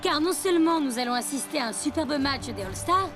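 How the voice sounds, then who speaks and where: A woman's voice: high voice, lively intonation, brisk, music in the background. An actress playing a poised female football commentator in a French cartoon dub. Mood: poised, dramatic.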